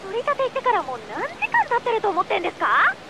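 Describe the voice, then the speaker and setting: A woman's voice: very high-pitched, wildly swooping pitch, fast talker, full character voice. An actress playing a bossy, exasperated young secretary in a Japanese video game, scolding as she talks. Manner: scolding, nagging.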